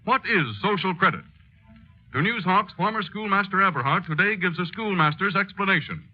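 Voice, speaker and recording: A man playing a grave, declamatory newsreel narrator in a 1930s radio news dramatization, stressing words with solemn weight. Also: high-pitched. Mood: grave, dramatic. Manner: portentous, declamatory, drawn-out emphasis. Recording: muffled old 1930s radio recording, music.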